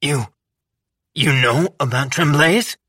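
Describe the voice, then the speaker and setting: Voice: medium pitch, slow, bright, forceful tone, slightly rough, dry recording, studio quality, heavily acted. A reader doing a guarded young warrior man. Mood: defensive. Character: hostile young man warrior, suspicious and confused. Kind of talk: audiobook character voice.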